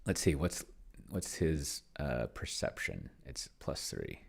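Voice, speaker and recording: Male gamer-host, low gravelly voice, casual think-aloud commentary, mutters while typing. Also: big pitch swings. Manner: muttering to himself, slowing as he dictates while typing. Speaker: solo tabletop gamer and video host. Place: indoors at a computer, keyboard clicks behind.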